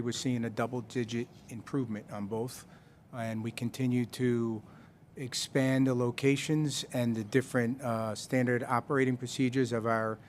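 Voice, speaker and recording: Male transit official, meeting Q&A, friendly and loose, faint room noise. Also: medium pitch. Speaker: transit official answering a board member. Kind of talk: answering a question at a public meeting. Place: boardroom meeting.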